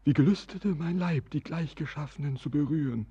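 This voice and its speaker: Brooding visionary sailor for radio play. Very raspy, soft-edged tone, solemn declamation, dull recording. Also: male voice, fairly high pitch, normal speed. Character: brooding, visionary young sailor, yearning and melancholy. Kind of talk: verse radio drama acting.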